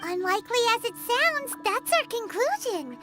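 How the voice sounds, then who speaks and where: A tiny floating companion from a video game, childlike and squeaky. The voice is extremely high and swings in a lively way, always excitable. Loud music plays underneath. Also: female voice, moderate pace. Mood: earnest. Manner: squeaky, excitable, talks of herself by name. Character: tiny childlike floating companion, chirpy, refers to herself in third person. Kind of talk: English video game voice acting.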